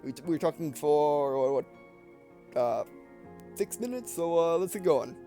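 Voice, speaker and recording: A man's voice: a little high, animated, a little slow, mellow, faint music behind. A man hosting a gameplay video with casual, rambling, digressive commentary, often trailing off mid-sentence.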